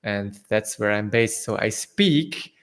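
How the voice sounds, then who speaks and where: A young man from South Tyrol whose first language is German, speaking English with a German accent as an interview guest, halting with fillers and restarts. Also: lowish voice. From northern Italy, South Tyrol. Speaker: guest entrepreneur. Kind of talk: casual interview answers.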